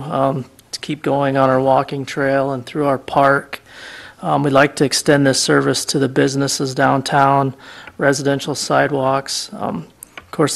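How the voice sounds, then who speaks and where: A man, the public works director, giving a steady report with many ums at a council meeting in a city council chamber. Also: a little high, creaky.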